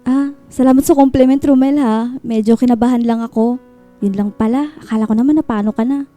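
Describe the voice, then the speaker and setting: A woman's voice: a little high, steady tone, brisk, mellow, soft background music, lightly acted. A woman playing a smitten, teasing, naive young woman with a Filipino accent in a radio drama. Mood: concerned, flustered.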